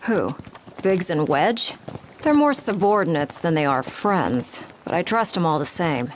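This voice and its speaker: An actress playing a cool, cocky young ex-mercenary commander in a video game, brisk, sardonic and casually bossy. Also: female voice, fairly low pitch, animated, a little hiss or hum. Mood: cool, confident. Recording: music behind. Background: clear game music.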